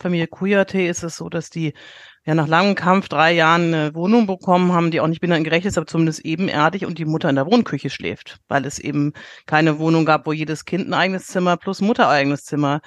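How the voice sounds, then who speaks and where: A man's voice: on the high side, fairly even intonation, quick pace, dry recording. A man hosting a panel discussion, asking questions in a searching way with many restarts. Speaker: host. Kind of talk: moderating a discussion.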